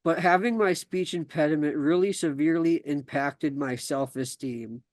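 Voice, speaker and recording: A man's voice: fairly high pitch, moderate pace, darkish tone, no room sound. A young man from South Dakota hosting a podcast, talking casually with many fillers and restarts, then reading his own story aloud. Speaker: podcast host.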